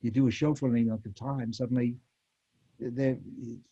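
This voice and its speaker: A man's voice: medium pitch, fairly even intonation, moderate pace, dark tone, breathy edge. An older man, a panel guest, reminiscing casually in a rambling way, recorded with dull lo-fi sound. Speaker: panel guest, former radio actor.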